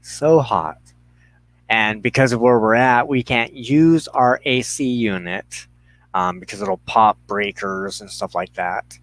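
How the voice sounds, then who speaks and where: Guy chatting on his livestream, unhurried, slangy and rambly, headset mic, no echo. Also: mid-range voice, fairly bright tone. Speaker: livestream host of a spiritual ministry show. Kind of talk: casual live chat to viewers. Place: inside a trailer.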